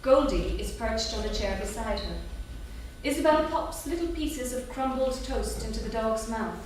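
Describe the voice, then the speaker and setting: A woman's voice: on the high side, animated, moderate pace. A woman reading stage directions as scripted narration at a staged theatre reading. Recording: distant, very echoey hall, clear noise.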